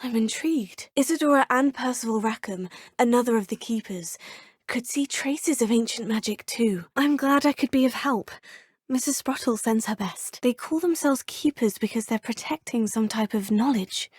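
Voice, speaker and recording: A woman's voice: fairly high pitch, normal speed, breathy edge, dry recording, studio quality. An actress voicing a polite, curious young female witch student in a video game, speaking in a formal, inquisitive way. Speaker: player character. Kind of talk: video game dialogue lines.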